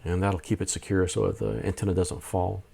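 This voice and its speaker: A man with a somewhat low voice narrates a casual hobby vlog. He explains things methodically in plain, everyday language, as a host talking to viewers. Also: level tone, brisk, creaky, dark tone, slightly rough. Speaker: amateur radio video host.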